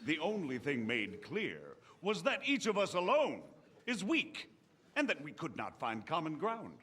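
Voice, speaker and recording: A man acting a measured, resolute nobleman leading a war council in a game, with stately, oratorical delivery. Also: on the high side, wildly swooping pitch, sharp tone, exaggerated voice. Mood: grave, resolute. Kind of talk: dramatic scripted game acting.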